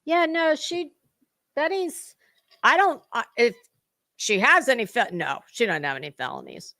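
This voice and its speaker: A woman hosting a gossip commentary show, reading aloud dramatically and commenting with blunt, scornful sarcasm and emphatic, drawn-out words. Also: a little high, huge pitch swings, sharp tone, no echo, clean studio sound.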